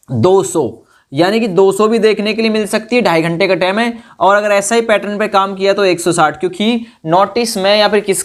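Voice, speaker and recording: A man giving an informal explainer to students, repeating phrases for emphasis and checking in with short tag questions. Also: sits high. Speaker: exam-news presenter. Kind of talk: informal explainer addressed to exam candidates.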